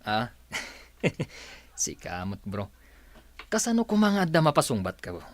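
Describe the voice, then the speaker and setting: An actor with a Filipino accent playing a teasing young buddy, playful and bantering, in a radio drama. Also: male voice, medium pitch, wildly swooping pitch, unhurried.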